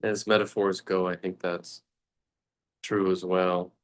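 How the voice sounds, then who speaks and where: Male meditation teacher, low-pitched and monotone, contemplative delivery with long pauses. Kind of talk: guided meditation talk.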